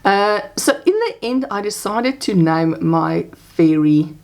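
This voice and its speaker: A woman's voice: takes it slowly, vocal fry. A woman in her forties from South Africa, with a South African accent and Afrikaans as her first language, chatting casually about her crochet projects in a small home craft room. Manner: chatty, animated pitch jumps, laughs often. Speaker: crochet vlogger. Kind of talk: casual show-and-tell chat.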